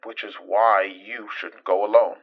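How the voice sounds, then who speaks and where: A man playing a caring, protective friend in a naturalistic audio drama, with a gentle, hesitant and reassuring way of talking. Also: on the low side, little pitch movement, unhurried, phone-quality sound. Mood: concerned, coaxing.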